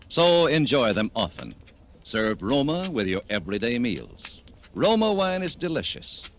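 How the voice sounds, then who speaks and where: A man with an American accent announcing 1940s radio advertisements in a polished, emphatic sales delivery. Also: mid-range voice. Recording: noisy old 1940s radio recording.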